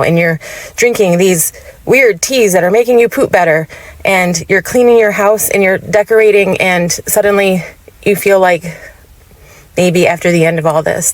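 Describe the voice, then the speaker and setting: Fast, hopeful woman, dry close sound. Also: lowish voice, fairly even intonation, dry recording, poor audio.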